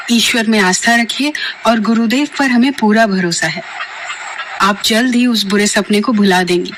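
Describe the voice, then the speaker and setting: A woman playing a caring, reassuring mother who speaks gently and formally in an audio drama. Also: a little high, noisy background, close to an everyday voice.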